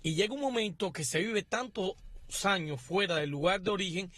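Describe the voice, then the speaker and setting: A man in his sixties, from Cuba, talking in a slightly high voice with a steady, deliberate delivery. The studio sound is close and dry, with no room around it. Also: no room sound. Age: 60s. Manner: measured, deliberate political commentary. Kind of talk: radio panel discussion.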